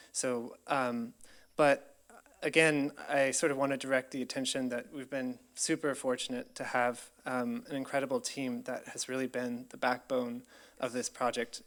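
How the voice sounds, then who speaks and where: A man's voice: medium pitch, a bit bright. A young man from Colorado with an American accent, giving an earnest, grateful public presentation with frequent ums. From United States, Colorado. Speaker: PhD researcher presenting.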